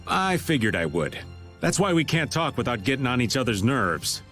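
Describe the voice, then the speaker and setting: A man's voice: mid-range voice, sing-song, normal speed, fairly bright tone, faint music behind. A man playing an easygoing, worldly middle-aged rogue with a wry, folksy manner in an anime game dub. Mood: wry, teasing.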